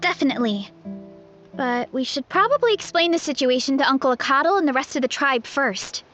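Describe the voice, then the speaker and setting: A woman's voice: high voice, expressive, brisk. An actress voicing an earnest, easygoing young village woman in a video game. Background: soft plucked-string game music.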